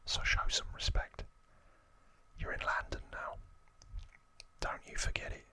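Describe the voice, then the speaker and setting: A man's voice: a little slow, pushed and bright, dry recording. A man voicing a boastful, threatening London gangster twin in a put-on Cockney accent, whispering his slangy lines from a game manual. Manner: threatening, slangy, self-important.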